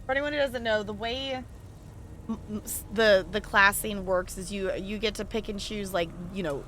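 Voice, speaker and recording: Bright-voiced woman rambling on a game stream. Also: medium pitch, moderate pace, slight background noise. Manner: rambling, hesitant, thinking aloud. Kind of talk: casual chat while livestreaming a game.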